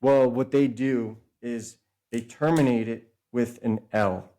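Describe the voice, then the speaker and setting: A man's voice: medium pitch, steady tone, slow pace, warm tone. A man hosting a podcast, eagerly explaining at length with many asides and self-corrections. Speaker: podcast host and author. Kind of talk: casual podcast conversation.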